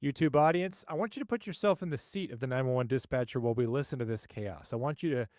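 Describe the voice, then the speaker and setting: A man's voice: mid-range voice, quick pace, a little mellow, no room sound. Man presenting case analysis, dry close sound. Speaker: host, active 911 dispatcher.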